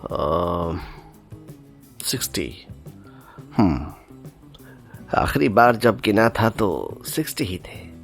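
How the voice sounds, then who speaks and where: A man's voice: sits low, very animated, drawn-out words, gravelly, long pauses, faint music behind, full character voice. A man with an Indian accent playing a genial, generous older father in an audio drama. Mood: genial, enquiring. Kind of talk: Hindi audio drama acting.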